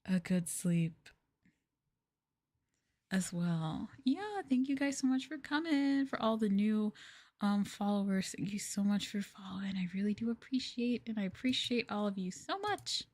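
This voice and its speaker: A soft-spoken woman streamer chatting casually on an ASMR livestream in an unhurried, hesitant way, close to the microphone. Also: medium pitch, lively intonation. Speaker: VTuber streamer, host.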